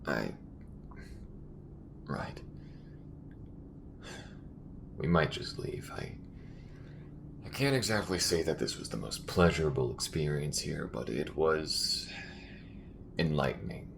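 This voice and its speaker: A man playing a gruff, weary, guarded alien smuggler in a sci-fi audio roleplay, gravelly and halting. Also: rumbling low, animated, exaggerated voice. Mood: awkward, hesitant. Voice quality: gravelly, breathy undertone. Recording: clear background noise.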